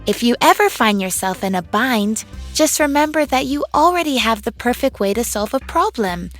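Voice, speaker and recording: Animated, upbeat girl inventor. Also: on the high side, normal speed. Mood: upbeat, encouraging. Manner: enthusiastic, encouraging, expressive storytelling. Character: upbeat, confident young girl inventor, cartoon heroine narrating. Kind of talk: scripted children's read-along narration. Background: music under the voice.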